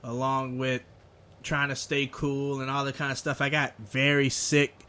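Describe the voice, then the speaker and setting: Sick bright-voiced man with a nasal cold. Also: medium pitch, monotone, a little slow. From Texas. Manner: rambling, chatty. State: sick, nasal, slight cough. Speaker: gaming video host. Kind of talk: casual let's play commentary.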